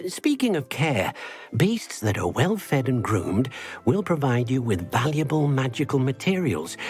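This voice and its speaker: A man voicing an eager, kindly little house-elf servant in a video game, earnest and helpful. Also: medium pitch. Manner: speaks of himself in third person, earnest and helpful.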